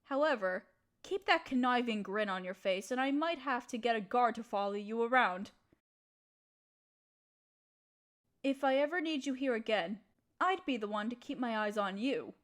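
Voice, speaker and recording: A woman playing a gentle, proper young noblewoman who speaks politely and coyly to her servant in an intimate roleplay audio drama. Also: a little high, expressive, normal speed, natural voice. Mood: playfully indignant. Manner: polite, formal, period phrasing, coyly teasing.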